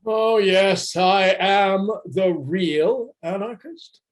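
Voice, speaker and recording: A man's voice: sits high, very slow. A man playing a bitter, scornful anarchist poet in a declamatory amateur radio play reading. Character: bitter red-haired anarchist poet, accusing and destructive. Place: remote reading over a video call.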